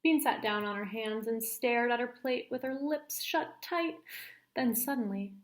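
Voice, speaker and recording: A woman's voice: fairly high pitch, a little slow. A woman narrating a children's story aloud, as a teacher reading to pupils.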